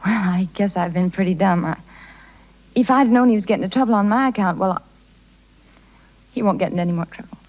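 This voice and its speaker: A woman's voice: mid-range voice, quick pace, long pauses, lightly acted. A woman playing a tender, self-sacrificing young circus rider with a hesitant, pleading delivery in a 1930s radio drama. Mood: resigned, brave. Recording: muffled 1930s radio broadcast.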